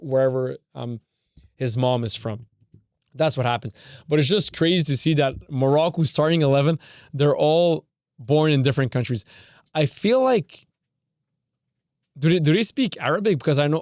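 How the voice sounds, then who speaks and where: A man's voice: on the high side, a little mellow, clear, resonant tone, no room sound, studio quality. A young man from Canada whose first language is Serbian, hosting a podcast in a rambling way, often correcting himself and swearing casually. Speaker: podcast host. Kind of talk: casual football talk.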